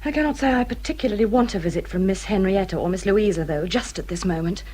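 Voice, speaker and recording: An actress playing a self-pitying hypochondriac English gentlewoman in a period radio drama, plaintive and complaining, with sighs and strong emphasis. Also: mid-range voice, fast, a little hiss or hum, natural voice. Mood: aggrieved.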